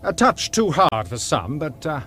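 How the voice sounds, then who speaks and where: An actor playing a cool, dryly cynical intelligence official with a deadpan, needling manner, in a radio drama. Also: male voice, fairly high pitch, measured pace, slight background noise, exaggerated voice. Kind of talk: British radio drama acting.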